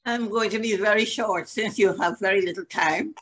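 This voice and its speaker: A woman's voice: medium pitch, normal speed, slightly rough. An older woman from Guyana, an alumna, sharing memories in an earnest, composed way on a video call. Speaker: alumna guest. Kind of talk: brief reminiscence on a video call.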